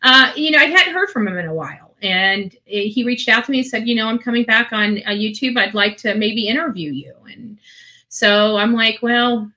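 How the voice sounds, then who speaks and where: A woman's voice: a little high, expressive, normal speed, sharp tone, poor audio. A woman host talking casually and ramblingly to her online followers, earnest and emphatic, often repeating phrases for effect. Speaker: online video channel host.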